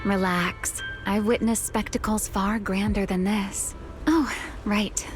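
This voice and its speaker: A woman's voice: mid-range voice, steady tone, takes it slowly, natural voice. A woman playing a composed, teasing young woman fighter with a hidden past, in theatrical video game acting. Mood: teasing calm. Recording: music under the voice.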